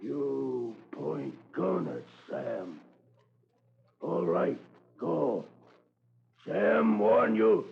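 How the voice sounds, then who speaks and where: An ominous guide who warns strangers away, with a high, very slow, gravelly delivery. His English is halting and clipped, and the vintage radio recording is thin and tinny. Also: sing-song, heavily acted. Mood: stubborn menace. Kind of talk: 1950s radio drama acting.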